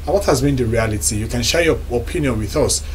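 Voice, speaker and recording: A young man with a Nigerian accent hosting a studio talk show, measured as he relays news and asks questions. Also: mid-range voice, a little hiss or hum. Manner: measured, reports news then poses questions. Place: TV studio lounge set.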